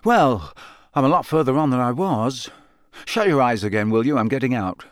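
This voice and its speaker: A man's voice: medium pitch, expressive, normal speed, dry recording, clean studio sound, lightly acted. A man voicing an earnest, puzzled schoolboy in an audiobook, questioning and slightly hesitant, sometimes impatient. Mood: polite, distracted. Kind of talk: audiobook character voice.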